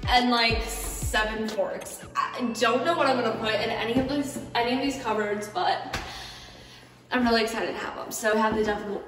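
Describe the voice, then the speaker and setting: A woman in her twenties chatting casually and self-consciously through a vlog tour, with plenty of fillers. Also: on the high side. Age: 20s. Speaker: vlogger. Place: car, then empty house with bare rooms. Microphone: camera mic, from across the room. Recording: echoey empty rooms, distant mic, background music.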